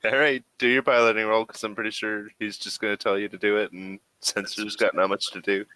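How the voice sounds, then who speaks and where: A man acting as game master in a tabletop role-playing game, talking casually and somewhat haltingly. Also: on the low side, level tone, sharp tone, no echo, poor audio.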